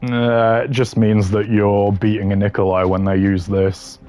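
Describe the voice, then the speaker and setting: A man doing casual gaming commentary. His voice is fairly low. He hands out opinions bluntly, dismissive and drily humorous. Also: flat delivery, measured pace, dark tone. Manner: blunt, dismissive, dry humour. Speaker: gaming content creator ranking voice lines.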